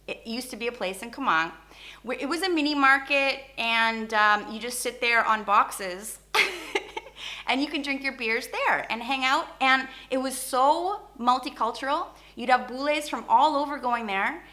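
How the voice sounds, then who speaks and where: A woman hosting a vlog, giving a casual, opinionated rant in an animated, informal way and mixing Indonesian phrases into her English. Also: sits high, measured pace. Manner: animated, informal, acts out short imagined exchanges. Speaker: YouTube host.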